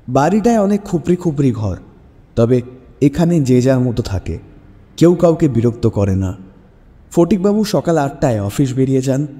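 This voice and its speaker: A man's voice: medium pitch, warm tone. A man hosting and narrating a scripted dramatic story for audio. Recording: long reverb with music bed. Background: music.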